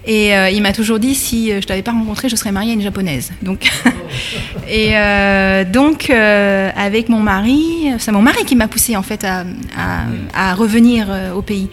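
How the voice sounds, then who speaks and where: A woman's voice: medium pitch, normal speed. Hesitant woman in a reverberant room. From France. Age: 30s. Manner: hesitant, many fillers and restarts. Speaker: guest, bakery school manager. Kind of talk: radio interview. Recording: long reverb.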